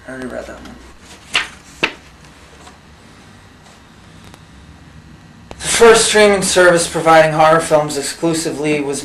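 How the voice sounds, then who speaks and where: A man playing a doubtful presenter of a comic facts segment, deadpan and hesitant. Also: mid-range voice, close to an everyday voice. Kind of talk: deadpan mock-documentary presenting. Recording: echoey room with faint hiss.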